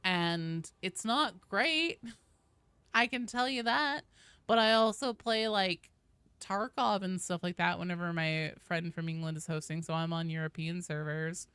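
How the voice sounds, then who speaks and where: Bright, clear-voiced woman chatting on a livestream. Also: lowish voice, measured pace, no room sound. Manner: informal, slangy, chatty. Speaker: game streamer and host. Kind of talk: casual livestream chat, reading out viewer comments.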